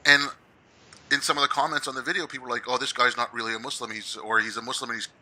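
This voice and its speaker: A man's voice: medium pitch, flat delivery, racing, bright, forceful tone. A young man hosting a podcast, talking casually and peppering his speech with "like.". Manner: casual, says "like" and "yo" a lot. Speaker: podcast host.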